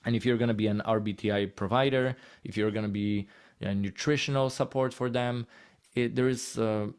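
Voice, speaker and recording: A man's voice: fairly low pitch, fairly even intonation, a little fast, smooth. A man with a Polish accent giving a casual, encouraging presentation in short conversational phrases. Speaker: guest speaker on healthcare marketing.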